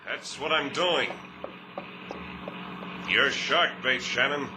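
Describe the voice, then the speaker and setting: An actor playing a vengeful, knife-wielding thug in 1950s radio drama, snarling out threats. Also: male voice, mid-range voice, takes it slowly, natural voice. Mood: menacing. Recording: noisy old 1950s radio recording.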